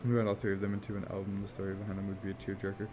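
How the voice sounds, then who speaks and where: A man casually chatting on a game development live stream, rambling and swearing easily, with a somewhat monotone voice. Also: low-pitched, rapid-fire, noisy background. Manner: rambling, laid-back, swears casually, frequent "like" fillers.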